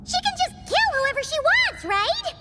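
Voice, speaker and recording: A woman voicing a tiny, excitable female fairy companion in a video game cutscene, asking wide-eyed, alarmed questions. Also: very high voice, normal speed, heavily acted. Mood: alarmed curious. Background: game score music.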